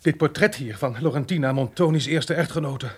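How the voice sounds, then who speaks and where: An actor playing a superstitious, easily terrified manservant, quavering and exclaiming in comic radio drama style. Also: male voice, on the high side, brisk, slightly rough. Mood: spooked. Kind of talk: old radio drama acting.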